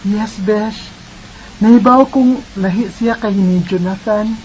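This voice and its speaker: A woman's voice: medium pitch, slow pace, a little mellow, slight background noise, lightly acted, low-quality recording. An actress with a Bisaya Filipino accent playing a young woman in love, earnestly telling her heartfelt story in a radio drama. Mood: shy, affectionate. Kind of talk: radio drama acting and letter narration.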